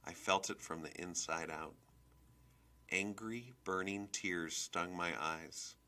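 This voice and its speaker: A man reading a children's novel aloud as expressive scripted narration. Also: sits low, takes it slowly. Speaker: storybook reader.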